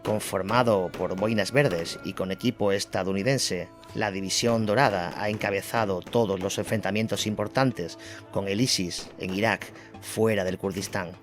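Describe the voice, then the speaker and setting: Low-voiced Spanish man narrating over music. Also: fairly even intonation, normal speed. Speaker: narrator of an audio drama. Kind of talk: scripted documentary war narration. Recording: music bed under the voice.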